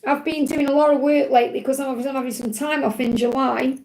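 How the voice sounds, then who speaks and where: A woman hosting a live spiritual card reading, her voice croaky from overwork, reassuring and repeating her key phrases. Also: high voice, steady tone, a little fast, a little mellow, low-quality recording. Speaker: spiritual card reader, livestream host.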